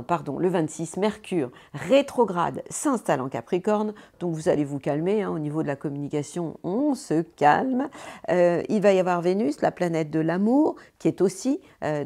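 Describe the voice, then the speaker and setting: A woman's voice: lowish voice, warm tone. A woman hosting a weekly horoscope in a chatty, bubbly way, full of fillers and little self-corrections. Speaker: astrologer, channel host. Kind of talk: casual weekly horoscope talk.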